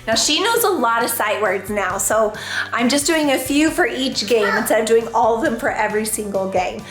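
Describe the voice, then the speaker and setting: Hoarse, chatty young mom. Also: female voice, fairly high pitch, animated, moderate pace, over music. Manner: chatty, upbeat, praising her child. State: hoarse, lost her voice. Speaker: homeschooling mom, channel host. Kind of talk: casual home vlog to camera. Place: family home, indoors.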